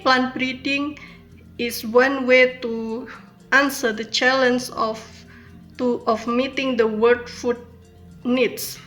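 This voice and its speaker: Woman, Indonesian accent, fairly high bright voice, prepared researcher speech. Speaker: PhD researcher accepting an award.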